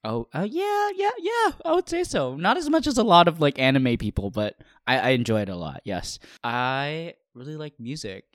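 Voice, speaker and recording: A man's voice: fairly high pitch, very animated, moderate pace, few pauses, dry recording, studio quality. A man in his twenties from Hawaii, co-hosting a casual podcast, laughing often and using many fillers. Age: 20s. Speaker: podcast co-host. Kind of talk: casual chat.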